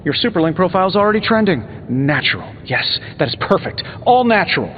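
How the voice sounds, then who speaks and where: Wisecracking inventor superhero, fairly high voice, sarcastic banter, muffled game audio, music behind. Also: male voice, sing-song, brisk, slight background noise. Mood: teasing banter. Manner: glib, sarcastic, breezy banter. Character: wisecracking, fast-talking male genius inventor superhero. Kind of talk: video game dialogue acting. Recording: dull game audio, long reverb, music.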